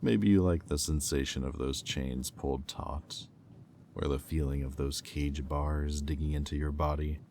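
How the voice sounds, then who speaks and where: A man playing a smug, predatory demon in a roleplay audio drama, teasing and taunting in a silky manner with deliberate pauses. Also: rumbling low, fairly even intonation, a little mellow, slight background noise. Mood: coaxing, menacing. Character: smug, predatory male demon toying with a captive angel.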